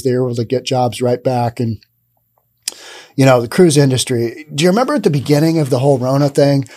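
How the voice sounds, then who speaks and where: A man in his thirties hosting a podcast, giving casual, sarcastic commentary on the news into a studio boom mic. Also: dark tone. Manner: sarcastic, acts out imagined dialogue. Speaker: podcast host. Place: dim studio with brick wall and neon sign.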